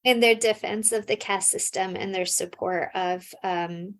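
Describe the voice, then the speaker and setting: American woman academic being interviewed, casual, pauses and says um a lot. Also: medium pitch, fairly even intonation, fairly bright tone. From United States. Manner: thoughtful, frequent fillers and restarts. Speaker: scholar guest.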